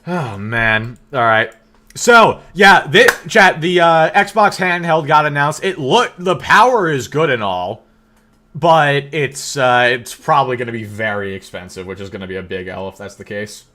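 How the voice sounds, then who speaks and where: A man's voice: mid-range voice, sharp tone. A young man hosting a casual live stream, joking with his chat and teasing his co-host. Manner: joking, teasing, quick banter with frequent laughs. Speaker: livestream host.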